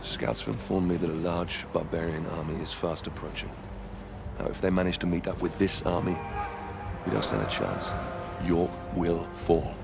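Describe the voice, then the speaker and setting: Hard-edged centurion, game drama: vengeful, intense, deep with fry, music underneath. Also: male voice, expressive, normal speed. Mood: urgent, grim. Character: hard, vengeful young Roman centurion, tense and defiant. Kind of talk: cinematic game drama acting. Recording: loud music behind the voice.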